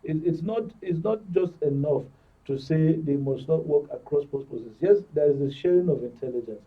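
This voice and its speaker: A man's voice: a little high, expressive, moderate pace, breathy edge. A man with a Nigerian accent giving explanatory policy commentary as a panel guest, often repeating words. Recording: thin phone-like sound, distant microphone.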